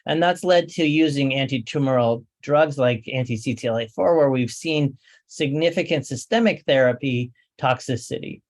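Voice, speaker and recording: An American man lectures on cancer treatment over a webinar link. His voice sits at a middle pitch, and he speaks in a measured, technical way, pausing often on filler sounds. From USA. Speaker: oncologist lecturer. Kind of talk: medical conference lecture. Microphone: remote talk, webinar audio.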